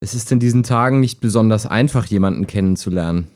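Mellow, low-voiced man playing a helpful lonely chatter. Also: fast, lightly acted. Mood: concerned, wistful. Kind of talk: amateur audio drama dialogue, read chat.

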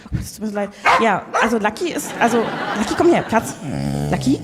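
A woman's voice: fairly high pitch, expressive, a little fast, over a noisy crowd, heavy background noise, natural voice. A woman playing an effusive, easily flustered dog trainer and former child singer in improvised comedy. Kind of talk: improvised comedy audio play. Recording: reverberant hall.

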